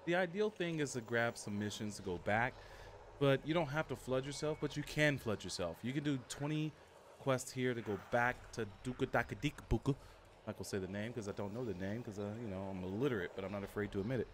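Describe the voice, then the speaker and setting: A man's voice: medium pitch, a little fast. Guy streaming a game, rambly chill commentary, mid voice, noisy background. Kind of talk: casual live gameplay commentary. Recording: clear background noise.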